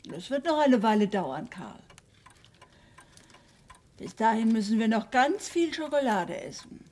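An actress playing a gentle, dutiful housewife and mother in a radio drama, speaking patiently. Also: on the high side, big pitch swings, unhurried. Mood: patient, motherly. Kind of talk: German radio drama acting.

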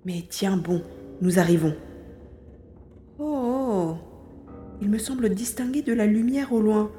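A woman's voice: medium pitch, unhurried, warm tone, natural voice. A woman playing a determined, tender young searcher in a French audio drama adapted from a youth novel. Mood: moved, hopeful. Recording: roomy echo with music underneath.

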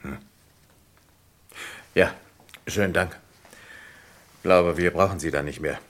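A man's voice: sits low, a bit bright. A man playing a courteous, probing police inspector who hums thoughtfully in an old German radio crime drama. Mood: probing, calm. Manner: deliberate questioning with thoughtful hums.

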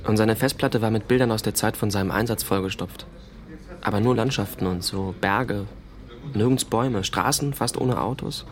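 A man's voice: on the low side, normal speed, close to an everyday voice. An actor playing a sullen, insecure teenage boy in a naturalistic radio drama, speaking in a hesitant, colloquial way. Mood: hesitant, reflective. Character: sullen, insecure fourteen-year-old boy, younger brother of a soldier.

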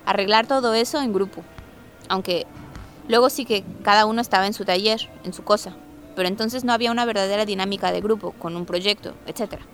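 A woman's voice: medium pitch, quick pace, fairly bright tone, soft background music. A woman giving a conversational, first-hand account, telling her story as an artist resident. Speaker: artist resident recounting a squat and eviction. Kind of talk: personal testimony, conversational storytelling.

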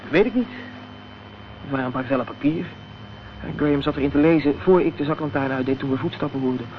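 Guy acting as young injured activist in an old radio play, evasive and halting, muffled sound w some noise. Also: mid-range voice, lightly acted. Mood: subdued, explaining. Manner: hesitant, evasive. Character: injured, guarded, idealistic young political activist. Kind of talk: Dutch radio drama acting. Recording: dull, noisy old radio recording.

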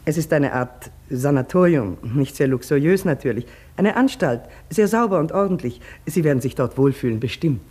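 An actress in a German radio play playing a brisk, reassuring woman doctor, matter-of-fact in manner. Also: deep voice, expressive, warm tone, slightly rough. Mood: matter-of-fact, kindly.

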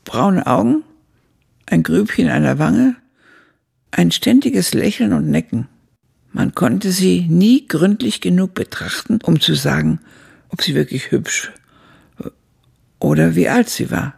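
An actress playing an elderly woman who looks back on her youth in a radio play, husky and gravelly, with a measured, reflective delivery. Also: on the low side, animated, a little mellow, exaggerated voice. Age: older. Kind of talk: scripted radio drama narration.

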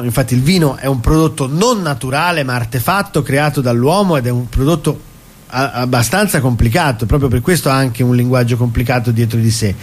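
A man talking as a radio guest, explaining things in a chatty, discursive way. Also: mid-range voice. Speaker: stage actor, radio guest. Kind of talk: interview, casual explaining of a show.

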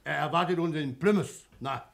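Blustering, creaky-voiced ship's captain. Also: male voice, on the high side, normal speed. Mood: hearty. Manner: gruff, hearty, grumbling and cursing. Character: blustering, jovial German ship's captain, middle-aged or older. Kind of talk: 1960 German radio play acting.

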